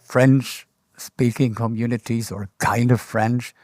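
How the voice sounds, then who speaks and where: A man hosts a live podcast, asking questions with careful, halting phrasing. His voice sits at a middle pitch. The sound is dry, with little room. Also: fairly even intonation, darkish tone, dry recording. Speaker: podcast host. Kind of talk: interview questions. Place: conference stage.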